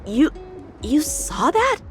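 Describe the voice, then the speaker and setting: A woman's voice: high-pitched, big pitch swings, slow, faint music behind, full character voice. A woman playing an eager, proud teenage boy swordsman in a video game, chatty, cocky and earnest. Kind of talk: English video game voice acting.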